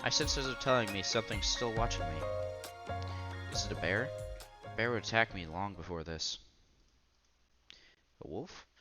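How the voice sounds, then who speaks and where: A man's voice: on the low side, lively intonation, measured pace, long pauses, loud music behind the voice. A man reading a visual novel aloud as a streamer, with casual commentary along the way.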